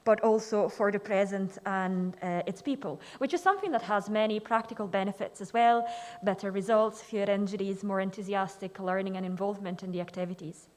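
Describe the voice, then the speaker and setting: A young woman from Switzerland giving a conference presentation in a measured, careful way. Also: mid-range voice, a little mellow. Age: young adult. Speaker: student presenter. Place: lecture hall.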